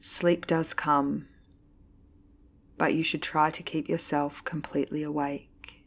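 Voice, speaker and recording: A woman leading a guided yoga nidra meditation as an instructor, reading calmly and deliberately with measured pauses. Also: sits low. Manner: calm, soothing, slow, deliberate pauses. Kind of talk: guided meditation, scripted narration.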